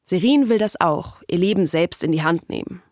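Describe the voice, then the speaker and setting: A woman's voice: lowish voice, expressive, moderate pace, darkish tone, no echo. Woman narrating a documentary podcast, dry sound.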